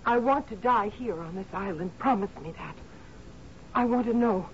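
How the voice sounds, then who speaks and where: A sorrowful, devoted housekeeper acted by a woman speaks with earnest, imploring intensity. Her pitch is medium for a woman. The radio mystery recording is dull, with a little noise underneath. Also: normal speed, natural voice. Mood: pleading, intense. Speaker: actress in a radio mystery drama. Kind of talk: 1970s radio drama acting. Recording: muffled, hissy old radio broadcast.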